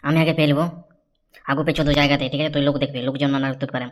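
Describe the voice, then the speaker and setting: A man's voice: a little high, level tone, racing, natural voice. A man voicing a brash, scheming, foul-mouthed young village man in a crude comedy cartoon, with a rural West Bengal accent. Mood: bossy scheming. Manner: bossy, crude, boastful.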